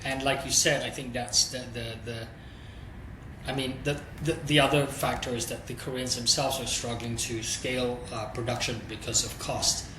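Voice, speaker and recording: A man's voice: medium pitch, moderate pace. A man, a streaming executive, answering interview questions in a candid, thoughtful way, thinking aloud as he goes. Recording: roomy echo with steady background noise.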